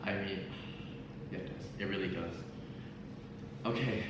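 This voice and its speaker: Young man hosting, low voice, echoey. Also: unhurried. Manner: easygoing, prompting questions, filler restarts. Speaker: podcast host. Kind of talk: casual chat. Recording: echoey room, far from mic, noisy.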